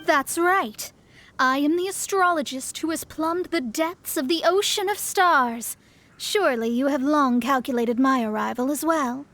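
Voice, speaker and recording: A woman's voice: sits high, unhurried. A young woman voicing a proud female astrologist in a game cutscene, poised and lofty with a slightly condescending manner. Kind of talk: video game cutscene voice acting. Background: soft game music.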